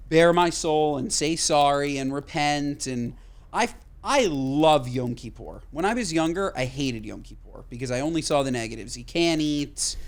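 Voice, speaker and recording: A man co-hosting a podcast, chatting casually and emphatically, swearing freely. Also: on the high side. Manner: emphatic, swears casually, talks in quick bursts. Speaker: podcast co-host. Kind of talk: casual chat.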